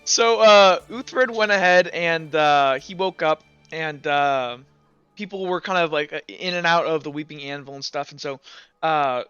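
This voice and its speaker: A man working as game master, casually recapping a tabletop game in a halting way, stumbling over his words. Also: a little high, pushed and bright, clean tone, muffled recording.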